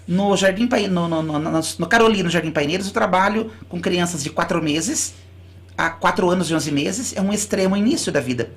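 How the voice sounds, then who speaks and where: A woman's voice: on the low side, fairly quick, slight background noise. A school director from Brazil, a guest on a radio interview. She explains in long, earnest runs and often restarts her sentences. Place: radio studio.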